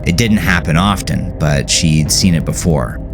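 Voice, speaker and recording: Very deep-voiced man narrating dark fiction. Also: unhurried. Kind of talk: scripted horror fiction narration. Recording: music behind the voice. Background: music.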